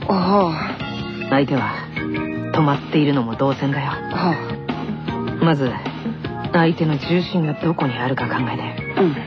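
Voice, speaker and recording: Calm teenage boy, low-pitched, gentle coaching, radio drama, dull sound, loud music behind. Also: a little slow, pauses often. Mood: gentle, instructive.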